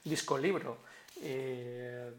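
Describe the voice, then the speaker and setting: A man hosting a podcast interview, speaking in a halting way that repeats words and leans on fillers. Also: medium pitch, fairly even intonation. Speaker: podcast host.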